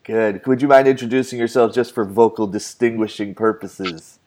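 Upbeat low-voiced podcast host. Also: male voice, fairly even intonation, moderate pace, darkish tone. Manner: upbeat, chatty, playful asides. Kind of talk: casual podcast chat and segment narration.

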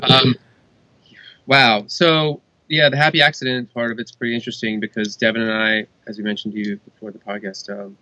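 A man, a musician guest on a podcast, talking in a relaxed, hesitant way with frequent fillers. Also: mid-range voice, a little fast, dry recording, muffled recording. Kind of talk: casual interview chat.

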